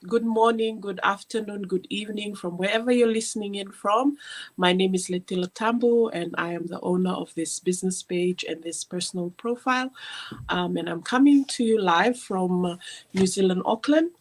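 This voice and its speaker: A woman's voice: mid-range voice, moderate pace. A woman from Fiji hosting a live online business stream, chatting casually with many fillers and restarts.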